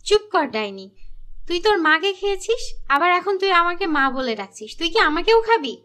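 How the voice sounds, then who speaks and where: A high-voiced, sharp-tongued stepmother from a cartoon fairy tale, acted by a woman. She bullies and commands in a theatrical scold. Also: very animated, moderate pace, exaggerated voice. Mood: harsh, spiteful. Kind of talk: cartoon voice acting.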